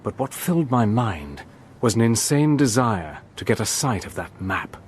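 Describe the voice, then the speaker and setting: An actor narrating and playing a brave, level-headed British army major, telling the story in a crisp, steady way. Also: male voice, mid-range voice, normal speed, a little hiss or hum, close to an everyday voice. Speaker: narrator and hero. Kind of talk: radio play narration and acting.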